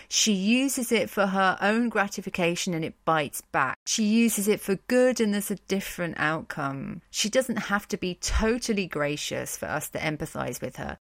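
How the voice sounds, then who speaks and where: A woman's voice: medium pitch, fairly bright tone, no echo. Wry woman podcast host, dry close sound. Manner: wry, informal asides. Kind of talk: conversational commentary on myth and books.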